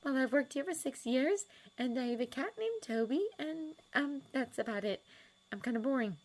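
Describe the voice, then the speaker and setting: A woman reading aloud and voicing a shy, nervous young woman who speaks softly and hesitantly. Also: high-pitched, animated, normal speed, voice pushed up. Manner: soft, hesitant, uncertain.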